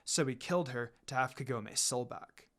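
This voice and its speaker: A man's voice: mid-range voice, normal speed, fairly bright tone, pitched below the performer's own voice, natural voice. Man reading as a protective demon lord. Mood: firm, dutiful. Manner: formal, firm. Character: proud, protective demon lord, dutiful son. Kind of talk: storybook character reading.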